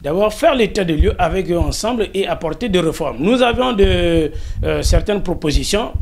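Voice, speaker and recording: A man's voice: high-pitched, lively intonation, normal speed, vocal fry. A man with a Congolese French accent giving formal, emphatic interview answers, repeating phrases for rhetorical effect. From Congo-Brazzaville. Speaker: interviewee, diaspora spokesman.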